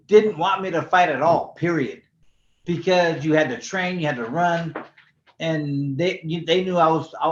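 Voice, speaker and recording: A man's voice: on the high side, fairly bright tone. An older man, a former boxer from California, telling stories in a loose, rambling way full of fillers. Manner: laid-back, rambling, heavy "you know" fillers. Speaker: guest, former professional boxer. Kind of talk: casual storytelling interview. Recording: echoey, dull lo-fi sound.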